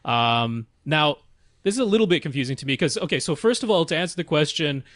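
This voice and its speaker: A man's voice: a little high, expressive, a little fast, a bit bright, no room sound, studio quality. A man co-hosting a podcast, explaining technical details methodically in a casual conversational style. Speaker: podcast co-host.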